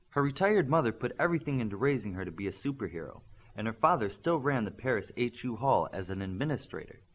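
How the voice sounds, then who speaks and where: A man reading a scripted fiction story as an audiobook narrator. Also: mid-range voice.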